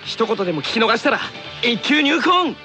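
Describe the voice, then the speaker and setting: A man's voice: extremely high pitch, big pitch swings, normal speed. A man co-hosting a radio show, chatting and reading announcements. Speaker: radio show co-host and voice actor. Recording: loud music behind studio voice. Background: loud music.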